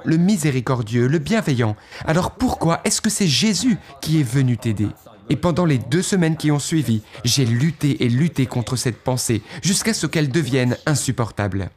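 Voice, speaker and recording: Male voice, first-person testimony, earnest storytelling, lively rising and falling pitch, mid-range voice. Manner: earnest, recounting past thoughts with dramatic pauses. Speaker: guest giving a testimony.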